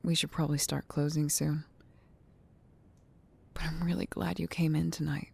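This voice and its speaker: Woman playing gentle old friend, soft asmr, lowish mellow voice w lots of fry, wistful n reflective. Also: little pitch movement, heavily acted. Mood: warm. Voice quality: soft, breathy near-whisper with creaky fry. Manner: tender, reflective, unhurried. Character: gentle, nostalgic female childhood friend in an old library. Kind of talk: ASMR roleplay, soft intimate talk.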